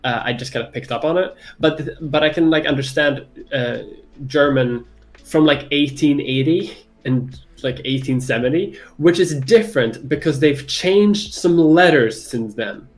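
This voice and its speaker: A native Swedish speaker co-hosting a casual livestream chat in English, rambling loosely and swearing freely. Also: male voice, fairly high pitch, moderate pace, soft background music.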